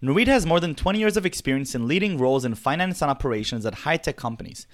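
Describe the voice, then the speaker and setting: A man's voice: fairly high pitch, expressive, quick pace. A man reading a scripted podcast introduction as the show's host. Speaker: show host. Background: music.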